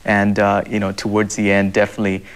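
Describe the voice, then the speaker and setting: A man's voice: fairly low pitch, monotone, fast talker, smooth. A young man from Zambia, answering interview questions earnestly, with many fillers and repeated words. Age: young adult. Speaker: guest.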